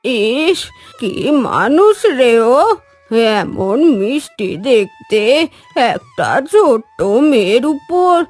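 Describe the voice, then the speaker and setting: An old beggar woman from a cartoon folk tale. She draws her words out very slowly in an elderly way, with soft music underneath. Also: on the high side, sing-song, full character voice. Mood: concerned. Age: older. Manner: slow, drawn-out elderly delivery. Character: old beggar woman, kindly to children, stern avenger.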